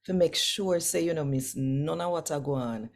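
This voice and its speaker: A Jamaican woman giving an expressive, deliberate devotional scripture reading with measured, emphatic delivery, as a host would. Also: low voice, gravelly.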